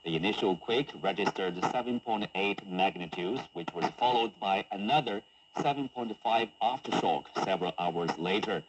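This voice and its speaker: A man's voice: on the low side, moderate pace. A man hosting a broadcast news interview, heard over a shortwave radio. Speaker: news talk show host. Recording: noisy humming shortwave radio reception.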